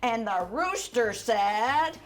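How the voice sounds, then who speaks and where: An older woman reads a children's storybook aloud in an animated, chatty way, adding asides for young listeners. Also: on the high side, slow. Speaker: volunteer storyteller.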